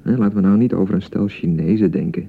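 An actor in a radio play playing an awkward young bridegroom eager to reassure, halting and repeating himself, with a Netherlands Dutch accent. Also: male voice, sits low, vocal fry. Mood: insistent, reassuring. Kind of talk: naturalistic radio play dialogue. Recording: thin phone-like radio broadcast sound.

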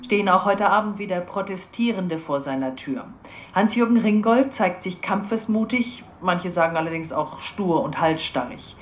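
An actress playing a composed, probing news presenter conducting an interview in a radio drama. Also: female voice, fairly low pitch, animated, normal speed, reverberant, a little hiss or hum, lightly acted.

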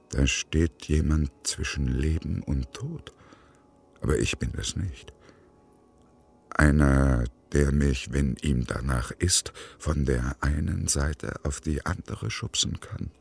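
An actor playing a brooding male courier in a radio drama monologue, hushed, halting and deliberate. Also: very deep voice, gravelly, slightly rough, heavily acted. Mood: darkly fascinated. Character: brooding male delivery courier, darkly fascinated by danger. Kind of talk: dramatic first-person monologue.

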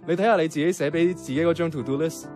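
An actor playing a young male office worker with a Hong Kong Cantonese accent, teasing and gently encouraging in a radio drama. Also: fairly high pitch, fast, natural voice. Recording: music behind the voice.

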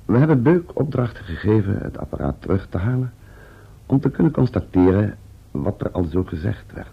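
An actor playing a rueful, wary time-travelling scientist's assistant and narrator in a Dutch radio serial, with a gravelly, husky voice. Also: male voice, fairly low pitch, very animated, normal speed, heavily acted. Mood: measured storytelling. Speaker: narrator and professor's first assistant. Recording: slightly dull old radio recording.

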